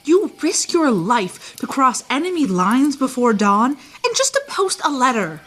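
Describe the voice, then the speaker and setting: Woman as a blunt, worried soldier, very animated. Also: high voice, measured pace, heavily acted. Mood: worried, scolding. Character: gruff-mannered, protective soldier comrade, blunt and worried. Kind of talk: radio theatre acting.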